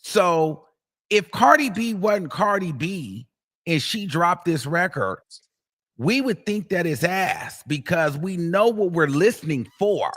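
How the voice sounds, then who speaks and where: A man's voice: high voice, very animated, takes it slowly, a bit bright. A man hosting a live stream, giving emphatic opinions in casual chat. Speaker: host.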